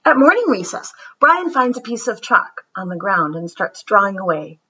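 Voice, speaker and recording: A woman reading a picture book aloud to children in an expressive, dramatic storyteller's manner with emphatic pauses. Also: medium pitch, husky, muffled recording.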